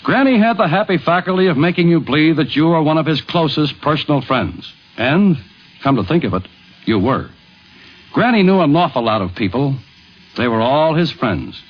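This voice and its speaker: A man's voice: on the high side, very animated, moderate pace. A man with an American accent narrating a scripted 1950s radio tribute as its host. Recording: muffled vintage 1950s radio recording.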